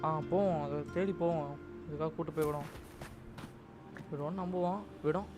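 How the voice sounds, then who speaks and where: A woman's voice: sits low, fairly even intonation, racing. A woman with a Tamil accent giving casual live commentary as she plays a game. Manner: echoes game dialogue, mixes Tamil and English. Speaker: game streamer. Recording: game music behind the voice.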